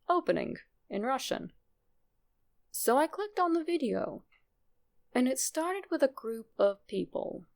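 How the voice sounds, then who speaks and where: Creaky-voiced woman narrating horror. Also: mid-range voice. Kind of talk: scripted creepypasta narration, first person.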